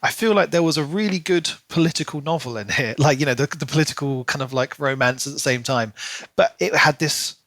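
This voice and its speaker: A man's voice: fairly high pitch, fairly quick, a bit bright, dry recording. A man co-hosting a book podcast, recapping and discussing a novel. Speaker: podcast co-host. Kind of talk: book discussion podcast, reading a plot recap then casual chat.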